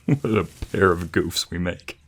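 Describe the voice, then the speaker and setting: A man's voice: low voice, unhurried, fairly bright tone, slightly rough, heavily acted. A young man playing a devoted, teasing boyfriend in a romantic audio roleplay, murmuring affectionately close to the microphone. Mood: playful. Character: playful, devoted young boyfriend, a villager with a secret. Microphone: close binaural microphone.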